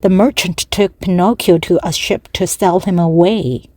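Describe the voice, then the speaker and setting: A woman reads a children's storybook aloud in short, measured phrases. Her voice is mellow and dry, close and plain. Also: lowish voice, steady tone, no echo. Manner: measured, with pauses between phrases. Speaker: storybook narrator. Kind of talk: slow, clear read-aloud for language learners.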